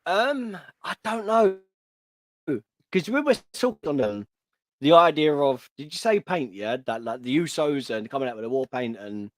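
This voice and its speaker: A man's voice: a little high, expressive, normal speed, no echo. A man co-hosting a livestream, chatting in an enthusiastic, rambling way. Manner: enthusiastic, rambling, laid-back. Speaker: co-host of a wrestling livestream. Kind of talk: casual livestream chat.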